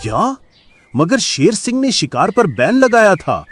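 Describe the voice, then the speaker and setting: Guy doing a righteous little jungle critter, high animated voice, declaiming, bit of music behind. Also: measured pace, heavily acted. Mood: disbelieving. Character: indignant plant-eating jungle animal, loyal to king. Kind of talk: Hindi cartoon voice acting.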